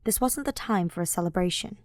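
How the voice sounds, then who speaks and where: A woman's voice: fairly low pitch, lively intonation, brisk, no echo, studio quality. A woman reading scripted story narration as an audiobook narrator.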